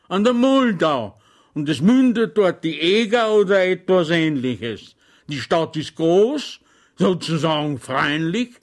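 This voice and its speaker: A man reading an audiobook, voicing a pompous, rambling older army colonel who speaks loud and slow. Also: high voice, pitched above the performer's own voice. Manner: loud, drawn-out, pompous, wandering off topic.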